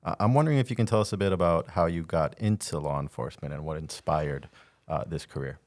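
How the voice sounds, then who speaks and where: A man co-hosting a podcast for a city team, putting friendly, slightly halting questions to a guest. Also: extremely low pitch, a little mellow, no room sound. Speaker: podcast co-host, city communications.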